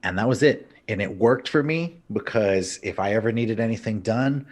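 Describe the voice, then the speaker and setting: A young man from El Paso, a stand-up comedian, chatting casually over a video call and telling animated stories. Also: fairly low pitch, normal speed. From El Paso, Texas. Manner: animated storyteller, slips into Spanish phrases.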